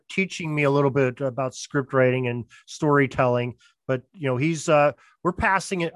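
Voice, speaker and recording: A man's voice: mid-range voice, no room sound. A man talking casually as a producer being interviewed on a podcast.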